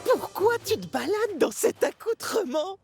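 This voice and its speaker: Une comédienne doublant une jeune locomotive masculine, vive et sincère, dans un dessin animé. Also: female voice, very high-pitched, very animated, a little slow, creaky, music in the background, exaggerated voice. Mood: excited. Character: eager young male tank engine. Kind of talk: cartoon dub acting.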